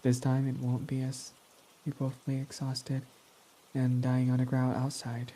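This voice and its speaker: A young man playing a guarded male villain who confesses his love, speaking softly and often sinking to a whisper in a romantic roleplay. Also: medium pitch, monotone, a little slow, a little hiss or hum, close to an everyday voice. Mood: soft, affectionate. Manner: soft-spoken, often dropping to a whisper. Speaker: ASMR roleplay voice actor.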